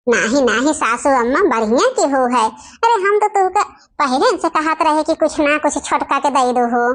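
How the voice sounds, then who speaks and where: A woman's voice: sits high, expressive, moderate pace. A woman with an Awadhi accent voicing a suspicious, vengeful elder daughter-in-law in a cartoon family comedy, with a scolding, homely way of talking. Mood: prodding.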